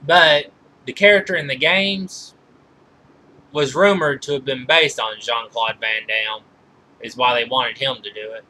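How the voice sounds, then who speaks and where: Bright-voiced man reviewing movies, noisy recording. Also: medium pitch, steady tone. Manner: halting, often corrects himself mid-sentence. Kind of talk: informal film trivia commentary.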